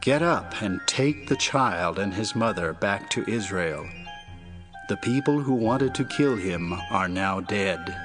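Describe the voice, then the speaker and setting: An actor playing a solemn angel messenger in an audio Bible drama. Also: male voice, on the low side, lively intonation, slow pace, music in the background.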